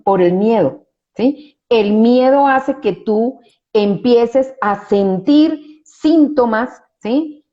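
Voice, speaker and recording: A woman's voice: on the high side, lo-fi sound. A woman psychologist, explaining her view in an interview, often restarting phrases and adding tag questions for agreement. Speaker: psychologist guest.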